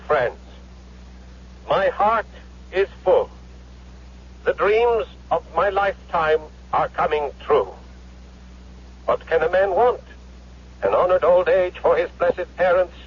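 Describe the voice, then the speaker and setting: Radio drama role: a grandiose Nazi orator, slow and solemn, strained high husky voice on muffled old radio. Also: male voice. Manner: solemn, declamatory. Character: grandiose young Nazi hero addressing his followers. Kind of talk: rhetorical speech in 1970s radio drama.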